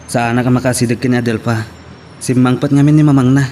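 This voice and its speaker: A man's voice: medium pitch, fairly even intonation, fast talker, mellow, natural voice. A man acting a respectful, pleading heartbroken young man in an Ilocano audio drama. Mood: apologetic. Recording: background noise with vehicle ambience.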